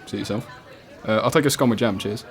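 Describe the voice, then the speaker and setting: A man's voice: lowish voice, quick pace, lightly acted. A man with a British accent playing a casual but persistent police detective in a radio play, questioning politely and insistently. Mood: breezy, probing. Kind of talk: student radio drama acting. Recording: cafe crowd chatter behind.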